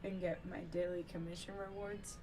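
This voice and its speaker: A woman's voice: lowish voice, level tone, a little fast, background noise. A woman streaming a game, giving casual live reactions and commentary. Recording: game ambience behind the voice.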